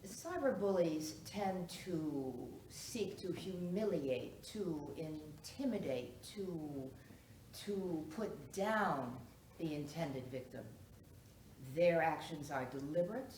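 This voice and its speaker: A woman playing a formal psychiatrist on the witness stand in a stage play, speaking in a measured, clinical, deliberate way. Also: sits low, lively intonation, a little mellow. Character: formal female psychiatrist testifying as expert witness. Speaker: actor in a one-act play. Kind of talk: stage courtroom drama acting. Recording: echoey room, distant mic, noisy.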